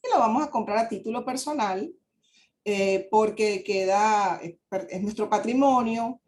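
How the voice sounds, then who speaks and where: A woman's voice: mid-range voice, unhurried, darkish tone. A woman giving a webinar presentation as an advisor, polite and explanatory, with frequent fillers. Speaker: guest speaker, real estate advisor.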